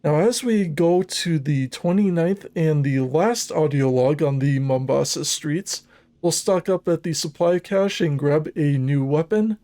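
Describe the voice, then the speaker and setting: A man narrating a scripted video game walkthrough in a measured, methodical, instructional manner. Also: a little high, dark tone.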